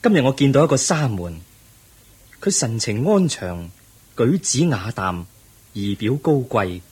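An actor playing an earnest young crown prince in a Cantonese radio drama, speaking in a solemn, formal and measured manner. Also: male voice, medium pitch, big pitch swings, a little mellow, slightly rough, heavily acted. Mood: yearning, resolute.

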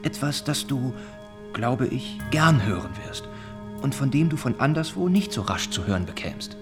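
An actor playing an earnest, tender young gentleman in a German radio drama, speaking gently and with some hesitation. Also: medium pitch, sing-song, normal speed, natural voice. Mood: tender, restrained. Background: music.

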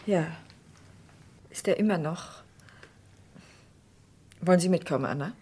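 A woman acting a proud, cool, sharp-tongued doctor's wife in a German radio crime drama, with curt replies. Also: on the low side, a little slow, breathy edge. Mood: controlled.

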